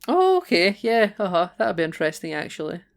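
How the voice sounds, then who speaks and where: A man's voice: sits high, sing-song, fast, gravelly. A man with a Scottish accent, co-hosting a casual film podcast in a playful, chatty way with frequent restarts. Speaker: podcast co-host. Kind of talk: casual film-review chat.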